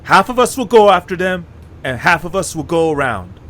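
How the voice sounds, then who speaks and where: An actor playing a hot-headed young leader in a fan audio drama, forceful and bossy. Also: male voice, a little high, very animated, normal speed. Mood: commanding. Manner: forceful, commanding and angry.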